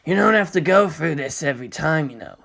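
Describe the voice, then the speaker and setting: A narrator as a low-key, easygoing flirtatious man. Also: expressive, brisk, creaky, a bit bright. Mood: teasing, gentle. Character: laid-back flirtatious male intelligence director.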